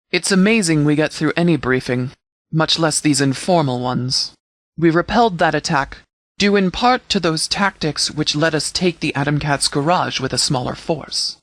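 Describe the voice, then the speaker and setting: A man playing a stern sheriff in a video game, giving formal, measured briefings with authority. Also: fairly high pitch, a bit bright, no room sound, lightly acted. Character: stern, formal sheriff commanding a post-apocalyptic settlement militia. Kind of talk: scripted video game dialogue, military briefing.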